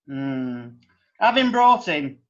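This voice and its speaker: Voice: medium pitch, very animated, slow pace, a little hiss or hum. Actor as an exasperated magistrate, radio drama, dull lo-fi sound. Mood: wry. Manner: wry, exasperated, colloquial. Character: wry, impatient magistrate.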